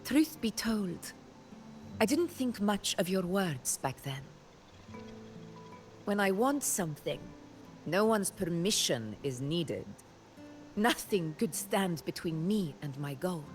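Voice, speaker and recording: A woman playing a proud, ambitious warrior in a video game, wry and brooding, speaking in measured, dramatic phrases with music underneath. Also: fairly low pitch, very animated. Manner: measured, dramatic, with weighted pauses. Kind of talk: scripted video game story acting.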